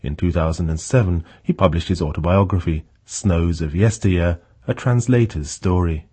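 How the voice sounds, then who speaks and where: A man narrating a radio feature as its reporter, in a calm, even storytelling manner. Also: sits low, normal speed, breathy edge.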